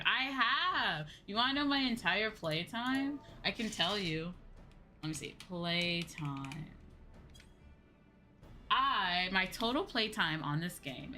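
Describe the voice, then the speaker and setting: A woman livestreaming a game in casual, excitable chatter, often exclaiming as she talks. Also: on the low side.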